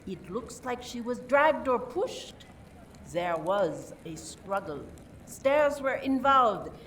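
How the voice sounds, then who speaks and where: A woman acting in a radio play as a guarded French police inspector, measured and authoritative. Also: medium pitch. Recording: distant mic, noisy stage recording.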